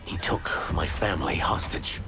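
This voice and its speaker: A man voicing a wary, remorseful shapeshifting outcast in a video game, with a measured, grave manner. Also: over music, close to an everyday voice. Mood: bitter, rueful. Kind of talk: video game cutscene acting.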